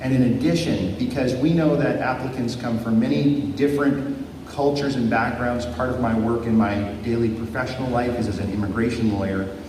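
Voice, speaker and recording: A man's voice: mid-range voice, fairly even intonation, brisk, darkish tone. Male candidate, formal policy talk with an odd filler, recorded from a distance in an echoey hall. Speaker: election candidate and lawyer. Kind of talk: public debate answer. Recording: echoey hall from far off, noisy.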